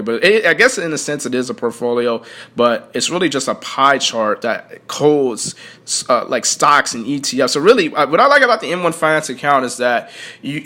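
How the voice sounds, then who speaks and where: Young man, casual vlog talk, rambling, lots of ums and restarts, mid-pitched voice. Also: sharp tone. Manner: rambling, many fillers and restarts. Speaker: personal finance vlogger. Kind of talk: casual unscripted talk to viewers.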